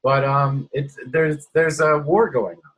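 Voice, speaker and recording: A man's voice: a little high, steady tone, slow, a bit bright, breathy edge, low-quality recording. A man chatting casually in a wry, rambling way. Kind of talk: casual conversation.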